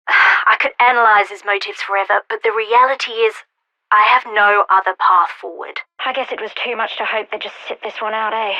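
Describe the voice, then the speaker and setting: An actress playing a brilliant, wry and determined scientist in a video game, speaking articulately in scripted lines and journals. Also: female voice, medium pitch, bright, forceful tone, no echo, rough recording. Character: brilliant wry female scientist, guilt-ridden, urgent and determined. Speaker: scientist character.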